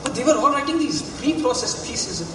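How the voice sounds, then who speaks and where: A man's voice: very high-pitched, animated. Man acting an earnest, flustered teaching assistant, distant and echoey. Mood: troubled, defensive. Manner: hesitant, halting, explanatory. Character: earnest, flustered young male creative writing teaching assistant. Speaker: stage actor in a college play. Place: stage in an echoey hall, recorded from the audience. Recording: roomy hall from far off, loud hiss.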